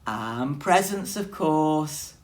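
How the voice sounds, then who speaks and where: Woman acting out tiny male mouse, storybook character, eager, wide-eyed, emphatic, swooping pitch. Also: animated, very slow, a little mellow, heavily acted. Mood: happy, fond. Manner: breathless wonder, emphatic. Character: small eager male mouse, sweet and excitable. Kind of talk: children's storybook character reading.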